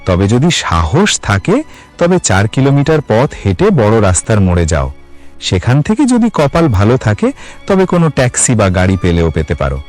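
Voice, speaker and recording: A man voicing a gruff, mysterious old man with a raspy voice in a horror story. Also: lowish voice, wildly swooping pitch, normal speed, darkish tone, faint music behind. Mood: ominous advice.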